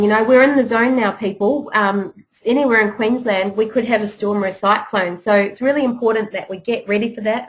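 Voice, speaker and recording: A woman's voice: mid-range voice, low-quality recording. Chatty New Zealand woman presenting a webinar. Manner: chatty and encouraging, many "you know" and "um" fillers. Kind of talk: business preparedness webinar talk.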